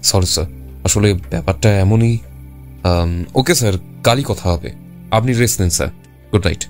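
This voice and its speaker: A man acts an earnest junior police officer in a detective audio drama. His voice sits a little low and he speaks deferentially to his superior, with music underneath. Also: normal speed, lightly acted. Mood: apologetic, respectful. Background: background music.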